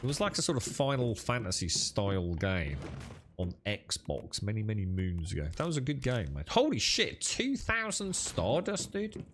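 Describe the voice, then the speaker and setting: A man's voice: lowish voice, very animated, normal speed, a bit bright, a little hiss or hum. A young man streaming games, chatting with friends in a blunt, sweary, dismissive way. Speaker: gaming streamer. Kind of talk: casual gaming stream chat.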